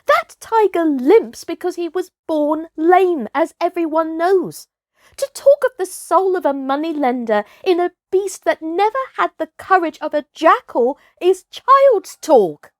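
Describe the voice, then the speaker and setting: A woman's voice: very high-pitched, expressive, measured pace, no room sound, studio quality, voice pushed up. A woman voicing a bold, scornful boy raised in the jungle, in a storybook reading. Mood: scornful, sure.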